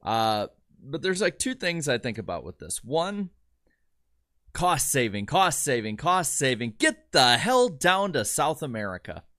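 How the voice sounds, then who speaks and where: A man's voice: medium pitch, very animated, a little slow, pushed and bright, dry recording. Male co-host, mid voice, blunt opinions, dry close mic. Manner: blunt, opinionated, hesitant fillers. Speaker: podcast co-host. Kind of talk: casual chat.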